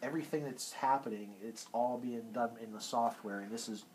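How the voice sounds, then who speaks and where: A man's voice: mid-range voice, a little fast. Guy walking thru radio tech stuff casually, trails off a bit, lots of room noise. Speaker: radio hobbyist demonstrator. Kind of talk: casual hands-on demonstration. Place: cluttered indoor radio workshop. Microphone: handheld camera, off screen. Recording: noisy room, handheld camera recording.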